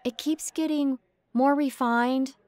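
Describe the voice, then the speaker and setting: A woman's voice: high voice, steady tone, slow pace, a little mellow, no echo. An American woman playing a blunt, earnest elementary art teacher in an audio drama.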